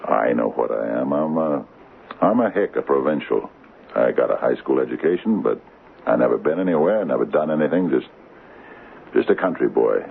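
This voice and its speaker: Troubled small-town mayor, radio drama. Halting, humble, swinging expressively from a very deep register. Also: male voice, animated, gravelly, exaggerated voice. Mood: uneasy, humble. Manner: halting, stammering, earnest. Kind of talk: old-time radio drama acting. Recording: phone-like, noisy old radio recording.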